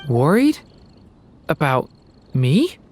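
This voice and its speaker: An actor voicing a gentle, earnest, boyish young dragon in human form for an anime game. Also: medium pitch, huge pitch swings, very slow, a little hiss or hum, heavily acted. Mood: apologetic, touched. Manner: soft-hearted, earnest.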